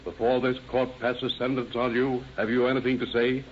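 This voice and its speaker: An actor playing a stern judge in a 1930s radio drama, speaking in a formal, solemn, declamatory way. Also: male voice, medium pitch, level tone, normal speed, close to an everyday voice. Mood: solemn, severe. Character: stern male judge passing sentence. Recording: dull old radio recording, faint noise.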